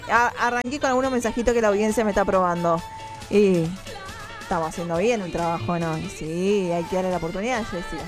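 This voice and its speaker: A woman from Mendoza, Argentina, with an Argentine accent, hosting a morning radio show and chatting directly with her listeners. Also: medium pitch. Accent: Argentine, mixed Mendoza and Córdoba. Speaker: radio host. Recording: music playing behind the voice. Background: music.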